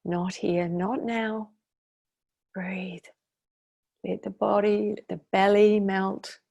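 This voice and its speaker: A woman guiding a meditation as its teacher, speaking in a soothing, unhurried way with long pauses. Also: mid-range voice, flat delivery, vocal fry, dark tone, highs cut off. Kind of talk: guided meditation.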